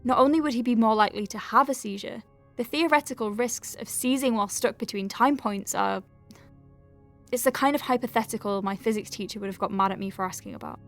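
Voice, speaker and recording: A woman's voice: a little high, vocal fry, fairly bright tone. Woman acting conscientious doctor dictating notes over music. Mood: earnest, explanatory. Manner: measured, articulate, conversational asides. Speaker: doctor at a clinic. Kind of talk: audio drama monologue, dictated case notes.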